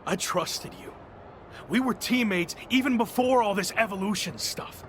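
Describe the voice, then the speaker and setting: A man's voice: high-pitched, normal speed, a bit bright, slight background noise. A man playing a proud, headstrong young champion wrestler, insistent and defiant, in a video game cutscene. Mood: betrayed.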